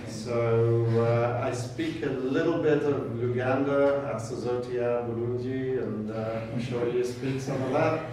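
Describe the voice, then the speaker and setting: An older man from Kenya whose first language is Swahili, speaking in a flat, even tone. He talks in short, measured phrases with pauses, as if welcoming a group. The recording is far from the mic in a very echoey room. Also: mid-range voice, a little hiss or hum. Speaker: program director welcoming students. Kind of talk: informal welcome talk.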